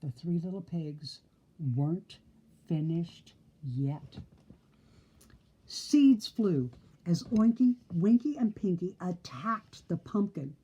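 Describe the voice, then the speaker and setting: A woman's voice: on the low side, expressive, slow pace, mellow, husky, dull audio. An older woman reading a children's story aloud expressively, with theatrical, playful emphasis. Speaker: storybook reader.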